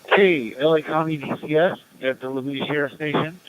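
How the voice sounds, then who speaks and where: Male radio net operator, clear-toned and dryly recorded, reading notices and roll call informally with procedural stops and starts. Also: on the high side, a little fast, no room sound, rough recording.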